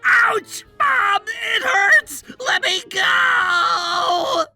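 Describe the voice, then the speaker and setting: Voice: high-pitched, animated, slow, vocal fry, sharp tone, faint music behind, exaggerated voice. A performer voicing a cheating husband in a story, whiny, frantic and full of excuses. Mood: wailing.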